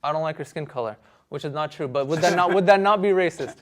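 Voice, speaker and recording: Brief: young male guest, somewhat high voice, keeps pressing the same questions. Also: quick pace. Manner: persistent questioning, repeats himself. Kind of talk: debate on a talk show.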